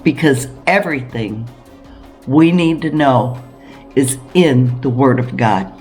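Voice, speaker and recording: A woman's voice: rumbling low, takes it slowly, a little mellow, soft background music. A woman hosting a homesteading video, talking straight to viewers in an earnest, emphatic way with rhetorical questions. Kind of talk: direct-to-viewer preparedness talk.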